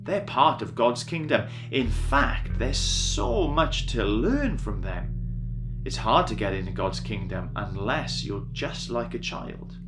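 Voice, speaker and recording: A man's voice: medium pitch, very animated, slow pace, over music. A man voicing a firm, kindly male teacher in a children's Bible story, emphatic and instructive. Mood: emphatic welcoming.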